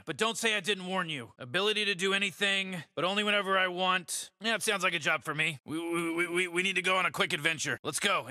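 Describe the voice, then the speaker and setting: Cartoon game villain-genius: arrogant drunken old scientist, very forceful high rasp, dry close sound. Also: male voice, dry recording. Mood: cocky. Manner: stammering, slurred, arrogant. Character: cynical, boastful, drunken old male mad scientist. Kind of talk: animated-style game voice lines.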